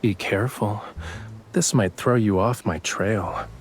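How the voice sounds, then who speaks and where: A man's voice: fairly low pitch, a little slow, faint music behind, natural voice. A man playing a teasing, smug young painter, the playful romantic lead of a video game. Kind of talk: English game voice acting.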